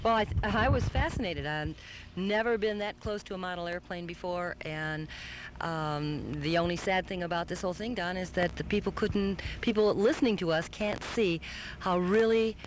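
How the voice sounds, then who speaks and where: A man with a high-pitched voice talks as a casual radio co-host, effusive and wordy. A faint noise sits behind him. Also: normal speed, low-quality recording. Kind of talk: casual chat.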